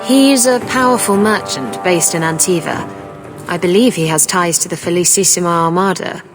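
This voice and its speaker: Wry, flirtatious lady sea captain for a fantasy game, played by an actress; lowish voice, music underneath. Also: moderate pace, natural voice. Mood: grave, candid. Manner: wry, candid, casually confiding. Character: worldly, flirtatious female pirate ship captain. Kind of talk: video game dialogue acting.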